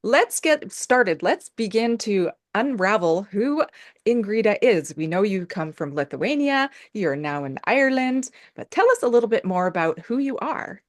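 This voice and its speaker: An effusive woman hosts a casual chat over a video call. Her pitch swoops widely and she laughs often. The sound is dry and close. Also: big pitch swings, moderate pace, no echo. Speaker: host.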